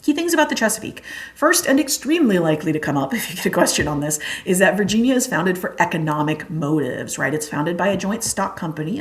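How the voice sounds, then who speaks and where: A young woman teacher giving a casual exam review lecture, chatty and full of "like" and "um". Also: fairly low pitch. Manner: chatty, many fillers like "like" and "um". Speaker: history teacher.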